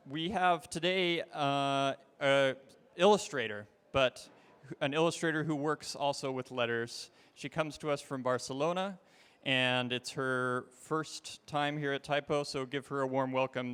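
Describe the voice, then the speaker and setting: Guy hosting at a conference, smooth voice, long hall reverb, lots of ums. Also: medium pitch, a little slow. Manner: relaxed, friendly, hesitant fillers. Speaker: conference host. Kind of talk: stage introduction. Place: conference stage.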